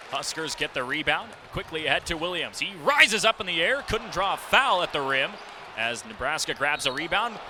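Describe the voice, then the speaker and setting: Young man, radio sportscaster, higher pitch, live basketball commentary, vivid running description, loud arena noise and bouncing ball. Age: young adult. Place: courtside broadcast in a basketball arena. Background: basketball game ambience, ball bounces.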